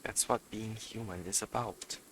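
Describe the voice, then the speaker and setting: A man's voice: lowish voice, steady tone, measured pace, noisy background. A man streaming a video game live, giving casual commentary as he plays. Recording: loud game music over voice. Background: loud game music and effects.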